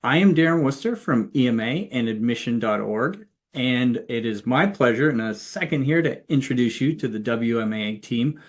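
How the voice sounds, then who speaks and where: A man hosting an online presentation, introducing the guest team in a friendly, relaxed way. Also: mid-range voice, normal speed. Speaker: host. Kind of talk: webinar introduction.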